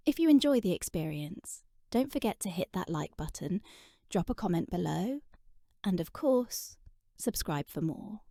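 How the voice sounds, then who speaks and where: A woman giving a soft-spoken, partly whispered introduction as an ASMR voice actress. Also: mid-range voice, creaky, no echo, studio quality.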